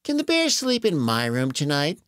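A man voicing a plucky, eager young boy in a children's story, exclaiming brightly. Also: fairly high pitch, wildly swooping pitch, normal speed, no echo, clean studio sound. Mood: eager, pleading.

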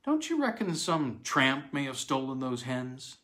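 A man's voice: mid-range voice, big pitch swings, measured pace, a bit bright. A man doing an easygoing, soothing father. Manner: soothing, joking.

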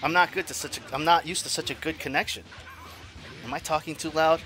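A man in his forties commenting on his own online fighting game matches, swinging from low mutters to loud yells, laughing and cursing at himself and his opponent. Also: brisk, loud music behind the voice. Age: 40s. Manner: profane, self-mocking trash talk. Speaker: gaming YouTuber. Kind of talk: live gameplay commentary.

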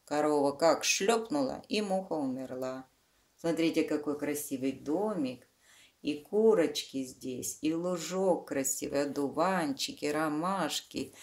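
A woman with a low voice reads to young children. She goes slowly, using fond diminutives and simple explanations as a storyteller would. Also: a little mellow. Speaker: children's book reader, channel host.

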